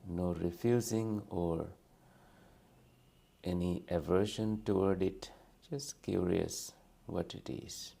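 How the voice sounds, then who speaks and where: A man with a very low, gravelly voice guides a meditation. He speaks gently and soothingly, leaving long pauses between short phrases. His tone is dark and mellow. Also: fairly even intonation. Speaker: meditation teacher.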